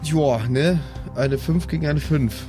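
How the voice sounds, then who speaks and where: A man playing in an improvised tabletop audio show, casual and slangy with a creaky voice. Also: a little high, a little slow. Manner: slangy, colloquial. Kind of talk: casual improvised role-play banter. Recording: music bed behind the voice.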